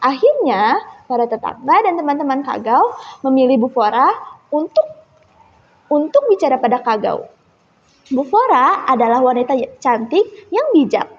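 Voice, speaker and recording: A young teenage girl tells a story expressively in a high voice. The recording is muffled, with a long hall reverb around her. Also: big pitch swings, normal speed, long pauses. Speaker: storytelling contestant.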